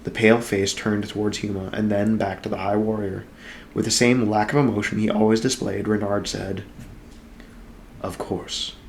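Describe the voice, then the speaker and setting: A man with a fairly low voice reads a fantasy novel aloud. He is an amateur storyteller recording in an apartment room. There is faint noise behind his voice. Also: level tone, brisk. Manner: doting, cooing to pet in asides. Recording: clear background room noise.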